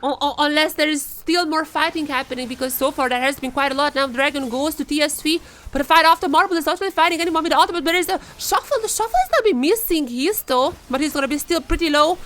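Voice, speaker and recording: High-pitched woman esports commentator. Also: fast talker, few pauses. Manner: excitable, chatty, rising into shouts in action. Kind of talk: live game commentary, watch party.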